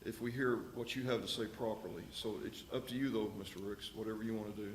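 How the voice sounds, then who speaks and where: Man chairing a county meeting: folksy, halting, in a roomy, slightly noisy recording. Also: lowish voice, fairly even intonation. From Texas. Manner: halting, many fillers and restarts, folksy. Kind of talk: public meeting remarks. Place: county commissioners court room. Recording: echoey room mic with faint noise.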